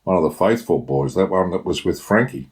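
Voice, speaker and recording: A man acts out a gruff rural Australian father from a short story. He is blunt and rough-edged, a low male voice. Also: expressive, a little fast, a little mellow. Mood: sombre.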